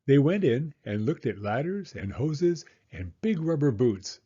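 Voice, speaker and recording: A man reading a children's picture book aloud in an expressive, animated way, as a storyteller speaking to young listeners. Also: medium pitch, measured pace, husky, muffled recording. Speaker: storyteller, former school teacher.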